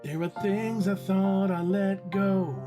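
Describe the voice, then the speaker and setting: A man's voice: high-pitched, fairly even intonation, slow, music in the background. A man acting in an audio drama as a skeptical, grumbling husband and father. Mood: anguished. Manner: grumbling, hesitant, emotive. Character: Colin, skeptical, reluctant husband and father, stubbornly logical.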